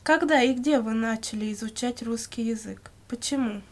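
A woman reading scripted language-course questions and answers in a measured, carefully enunciated way. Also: on the high side. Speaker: language lesson reader.

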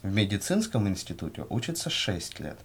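A man reading scripted language-course answers in a measured, carefully enunciated way. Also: fairly low pitch, big pitch swings, husky. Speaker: language lesson reader.

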